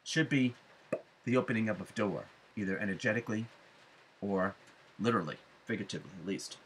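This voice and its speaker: A middle-aged man giving a spiritual forecast to viewers, speaking deliberately with emphatic phrasing and frequent pauses. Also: on the low side, fairly bright tone, slight background noise. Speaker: astrology forecaster and psychic medium. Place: small room at home, indoors.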